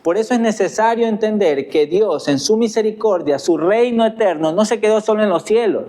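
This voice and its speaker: Ecuadorian pastor guy preaching, kinda high voice, earnest, asks the crowd questions. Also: fairly quick, dark tone. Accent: Ecuadorian Spanish. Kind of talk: sermon.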